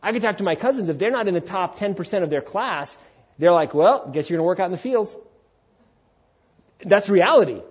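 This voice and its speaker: Guy in his 40s preaching, kinda high husky voice, casual but emphatic, bit muffled recording. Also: lively intonation, brisk, pauses often. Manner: emphatic, informal, with rhetorical questions and acted-out quotes. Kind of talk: sermon with casual, conversational asides.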